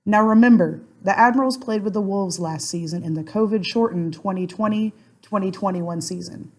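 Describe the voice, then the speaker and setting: A woman with a scratchy, hoarse voice giving an upbeat prepared hockey preview as a contributor. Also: fairly low pitch.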